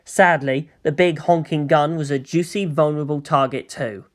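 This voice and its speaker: Lady reading a fanfic audiobook, steady and expressive. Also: deep voice, moderate pace. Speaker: narrator. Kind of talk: audiobook reading of fan fiction.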